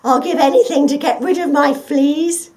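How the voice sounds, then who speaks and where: A woman's voice: sits high, moderate pace, darkish tone, voice pushed up, lightly acted. A woman voicing a gullible, anxious male guard dog in a storybook, emphatic and plaintive. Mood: eager, pleading.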